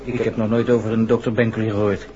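An actor playing a nervy, evasive male stage performer with a halting, guarded manner in a 1960s Dutch radio drama. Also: fairly low pitch, level tone, dark tone, slight background noise, lightly acted. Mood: defensive. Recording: muffled old radio recording over music.

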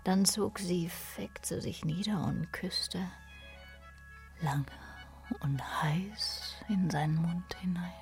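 A woman reading scripted narration for a radio play in a hushed, literary manner. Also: on the low side, takes it slowly, creaky, soft background music.

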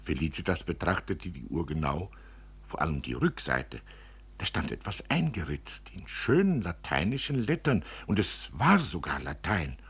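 A man reading a literary story as narrator, expressively, with dramatic and deliberate phrasing. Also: low-pitched, big pitch swings, creaky, fairly bright tone, breathy edge. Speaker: narrator, reader.

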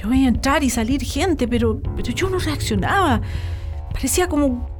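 A woman's voice: high voice, animated, normal speed. A woman playing an anxious working-class Chilean mother, agitated and pleading, in a radio drama. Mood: dazed, recalling. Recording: music bed under the voice.

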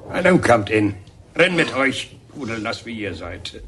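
An actor playing a gruff, stubborn but kindly painter in a radio drama, speaking in terse, muttering phrases. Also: male voice, mid-range voice, sing-song, unhurried, fairly bright tone. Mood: brusque, kindly. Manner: terse, muttering, hesitant interjections. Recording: background noise, scene ambience. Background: ambient noise.

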